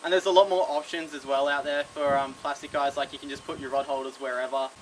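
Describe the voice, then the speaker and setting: A young Australian man, a kayak fishing vlogger, giving casual tips to the camera from an outdoor veranda, relaxed and chatty with the odd restart. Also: mid-range voice, quick pace, slight background noise, rough recording. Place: under a covered veranda outdoors.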